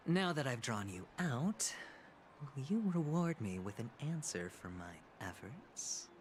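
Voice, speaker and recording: An actor playing a suave, scheming young male gambler in a video game, smooth and slyly charming. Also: mid-range voice, big pitch swings, unhurried, noisy background. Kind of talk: dubbed video game acting.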